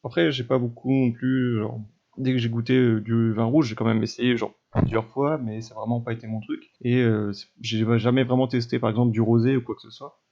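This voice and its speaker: Man, gravelly voice, dull lo-fi sound, casual hesitant podcast host. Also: mid-range voice, steady tone, a little fast, dark tone. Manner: asks questions, hesitant with fillers. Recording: dull lo-fi mic.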